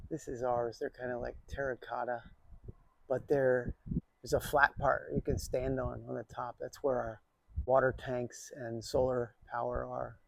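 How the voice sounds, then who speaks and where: A man's voice: mid-range voice, vocal fry, a little mellow, slightly rough, no echo. A man, middle-aged, hosting a casual, chatty vlog that teaches language with rambling asides. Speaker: video host teaching Chinese characters.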